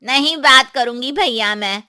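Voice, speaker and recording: Casting: actress as sly, sulky troublemaking aunt for a moral story; bright piercing tone, unhurried taunting delivery. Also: female voice, sits high, clean tone, full character voice. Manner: taunting, exaggerated drawn-out sympathy. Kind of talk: Hindi moral story voice acting.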